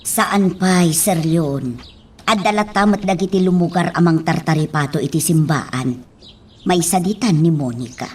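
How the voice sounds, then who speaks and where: A woman acting a gently firm, deferential household nanny in a radio drama. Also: on the low side, measured pace, slightly rough. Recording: faint background noise.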